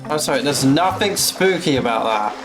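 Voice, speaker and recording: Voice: mid-range voice, lively intonation, normal speed. A streamer giving casual, blunt live commentary on a game. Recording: game music behind the voice.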